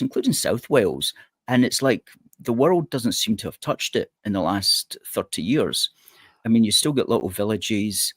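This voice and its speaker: A man from Scotland talking as a guest in a casual political chat, measured and thoughtful. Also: on the low side, no room sound, clean studio sound.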